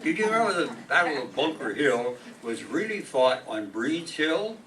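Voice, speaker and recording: A man playing a know-it-all relative who speaks in a deliberate, informative way in a radio comedy play. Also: a little high, very animated, fairly bright tone. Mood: matter-of-fact. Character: know-it-all male relative reciting history facts. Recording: noisy, distant stage microphone.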